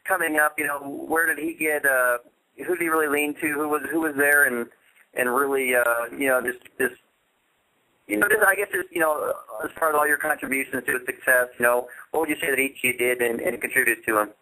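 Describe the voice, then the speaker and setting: Male sports radio host, extremely bright, forward voice, mid pitch, halting and rambling as he frames questions. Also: rough recording. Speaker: radio show host, interviewer. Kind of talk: casual sports radio interview.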